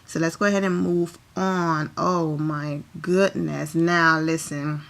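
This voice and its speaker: A woman from Liberia with a slightly low voice gives chatty, enthusiastic commentary on red-carpet outfits. She talks casually and apologizes along the way, recorded at home. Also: fairly even intonation, slow, gravelly, a bit bright. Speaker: fashion vlogger.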